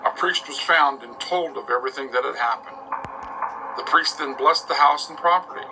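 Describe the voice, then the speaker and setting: A man narrating a scripted radio drama as a storyteller, with measured, dramatic delivery. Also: mid-range voice, low-quality recording. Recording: loud music behind narration.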